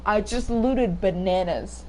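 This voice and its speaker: A young woman with a fairly deep voice for a woman, chatting casually and joking while streaming a game, swearing now and then. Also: takes it slowly, warm tone, a little hiss or hum, low-quality recording. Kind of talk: casual gameplay chat.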